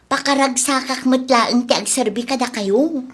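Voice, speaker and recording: A woman's voice: high voice, normal speed, fairly bright tone. A Filipino-accented woman playing an eager, flirtatious housemaid in a comedy drama, giggly and coy. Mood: flirty, coy. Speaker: actor.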